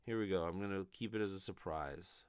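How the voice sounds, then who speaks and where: A man's voice: sits low, a little fast, clear, resonant tone, dry recording. A man in his thirties chatting casually to viewers in a rambling, self-deprecating way with plenty of swearing, close on a studio mic in a bedroom. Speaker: vlogger and amateur ukulele player. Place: bedroom, indoors. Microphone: studio condenser mic up close.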